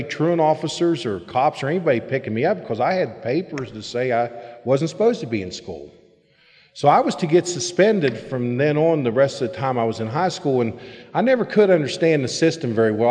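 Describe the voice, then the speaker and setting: A middle-aged man shares his own story at a recovery meeting, plain-spoken and candid, now and then pressing a point with force. His voice sits at an ordinary pitch, and it carries the long reverb of a big meeting hall. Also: darkish tone. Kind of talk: personal recovery talk to a meeting.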